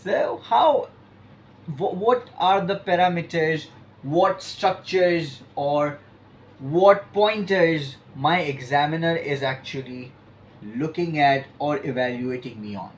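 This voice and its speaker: A man teaching an exam-prep lesson, explaining points emphatically and stretching key words between pauses. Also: a little high. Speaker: IELTS teacher.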